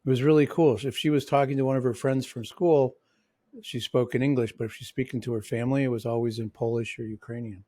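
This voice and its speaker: Midwest-new york guy hosting a chatty podcast, keeps restarting his sentences, mid pitch, dry sound. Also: fairly even intonation, quick pace, mellow, dry recording. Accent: Midwestern and New York American. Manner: rambling, many restarts and fillers. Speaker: podcast host. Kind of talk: casual interview chat.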